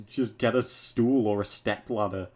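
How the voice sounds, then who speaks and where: A man's voice: fairly low pitch, steady tone, slow, a little mellow. A man reading fan fiction aloud as a narrator, breaking off into casual, mocking asides with some swearing. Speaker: reader of fan fiction for an online video.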